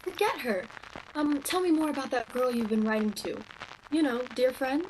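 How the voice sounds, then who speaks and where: A woman's voice: sits high, expressive, normal speed, slight background noise, poor audio. A woman playing a kindly, inquisitive shop coworker and confidant in a radio play, with a soothing manner. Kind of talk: virtual radio play acting.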